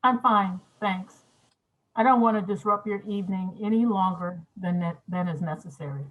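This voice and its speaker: A woman's voice: mid-range voice, normal speed, a little hiss or hum, close to an everyday voice. A woman playing a considerate, earnest journalist in a screenplay table read. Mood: polite, earnest. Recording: thin, phone-like band-limited sound.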